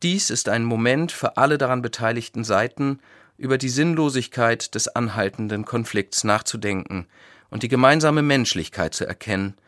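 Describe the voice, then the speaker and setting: A male pastor giving a scripted radio reflection, telling his account in measured sentences. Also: mid-range voice, fairly bright tone, dry recording, clean studio sound.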